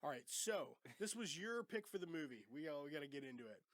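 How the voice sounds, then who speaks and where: A man's voice: fairly high pitch, lively intonation, quick pace, a bit bright, no echo. Congested man hosting a movie podcast. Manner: laid-back and chatty, swears casually. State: congested, sick with a cough. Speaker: podcast host. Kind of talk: casual movie podcast chat. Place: home podcast studio. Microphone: studio microphone.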